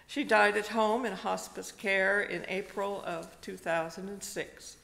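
An older woman, a retired pastor, preaches slowly and gently, telling a personal story with pauses, at a pulpit microphone in a church. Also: medium pitch, steady tone, gravelly. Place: church sanctuary, indoors. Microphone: stand microphone at a pulpit. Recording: long reverb of a church hall.

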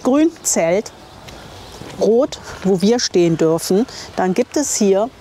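A woman presents an informal travel vlog. Her voice sits at a medium pitch, and she is chatty and enthusiastic. She wears a lapel mic, with some ambient noise behind her. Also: animated, slow, warm tone. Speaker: camper van travel vlogger and presenter. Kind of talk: informal guided tour to camera. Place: campsite, outdoors and in small tiled rooms. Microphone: clip-on lapel microphone. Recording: clear ambient noise on location.

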